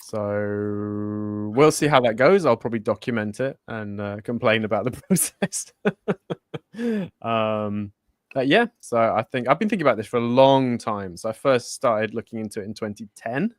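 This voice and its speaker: A man's voice: on the low side, lively intonation, a little slow, no echo, clean studio sound. A man raised in Spain co-hosting a casual finance talk show, chatting loosely, laughing often and correcting himself mid-sentence. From born in Germany, raised in Spain. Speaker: co-host. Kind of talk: casual chat.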